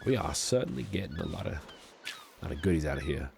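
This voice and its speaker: A man's voice: sits low, expressive, fast talker, vocal fry, darkish tone, slight background noise, voice pushed down, exaggerated voice. An Australian man voicing a friendly, polite cowboy with a put-on American Southern accent, chatting casually and folksily as he streams a game. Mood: grateful, amused. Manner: folksy, courteous, rambling. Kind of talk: casual livestream chat over gameplay.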